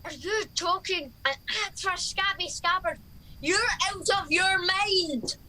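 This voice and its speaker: Woman playing dismissive soldier, high voice, slow pace, radio comedy. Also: sharp tone, exaggerated voice, poor audio. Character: gruff, dismissive Scottish soldier at camp.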